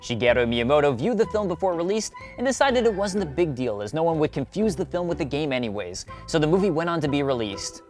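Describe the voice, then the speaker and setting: Lively male video essay narrator over music. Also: a little high, fast, hardly any pauses. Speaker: video host. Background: background music.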